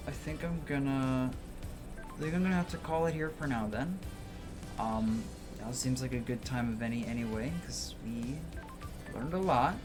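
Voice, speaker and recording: An androgynous-sounding streamer gives casual live commentary on a game. The voice sits at a middling pitch and talks informally, as if thinking aloud. Game music plays clearly behind it.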